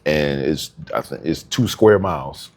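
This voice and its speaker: A man's voice: rumbling low, lively intonation, unhurried, vocal fry, darkish tone. A man from New Jersey co-hosting a podcast, telling stories in casual chat with laid-back slang. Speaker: podcast co-host.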